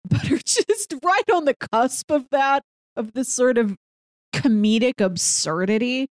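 A woman's voice: sits high, animated, a little slow, no echo, studio quality. A woman co-hosting a podcast, casually talking over a TV drama in a rambling storytelling way. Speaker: podcast co-host. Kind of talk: casual chat about a TV drama.

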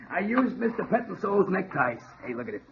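An actor playing an excitable, slangy male sidekick in an old-time radio drama. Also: sits high, moderate pace, creaky, dull audio, a little hiss or hum, exaggerated voice. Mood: excited.

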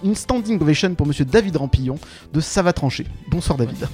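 Playful higher-pitched man hosting over music. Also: expressive, brisk. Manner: playful, mock-grandiose introductions. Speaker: podcast host. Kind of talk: lively live show presenting. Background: background music.

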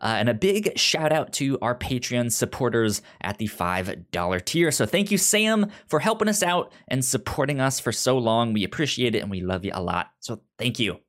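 A man's voice: medium pitch, sing-song, normal speed, few pauses, a bit bright. A man hosting a podcast in a casual, chatty way, often stumbling over and repeating his words. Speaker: podcast host.

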